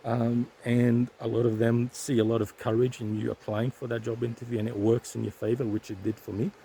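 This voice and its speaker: A man's voice: mid-range voice, level tone, a little fast, vocal fry, warm tone, a little hiss or hum. A man vlogging outdoors on a hike, talking casually about his stutter with frequent repeated sounds and restarts. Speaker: YouTuber sharing stuttering advice. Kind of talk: casual vlog talk to camera. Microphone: weak handheld setup, shaky.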